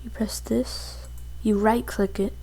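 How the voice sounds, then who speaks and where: A woman presenting a casual software tutorial as she goes through the steps on a computer. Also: a little high, fairly even intonation, slow, vocal fry, slightly rough.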